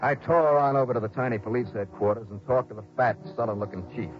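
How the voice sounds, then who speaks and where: A man's voice: mid-range voice, fast talker, natural voice. An actor playing a tough, wry private investigator in a 1960s radio detective drama, needling others and narrating his case. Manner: hard-boiled, needling. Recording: dull old radio recording, music behind.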